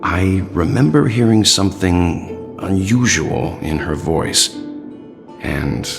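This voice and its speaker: An actor playing a composed, courteous gentleman investigator in a video game, speaking in a measured, deliberate way. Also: sits low, lively intonation, creaky, long reverb tail, over music, heavily acted. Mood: pensive.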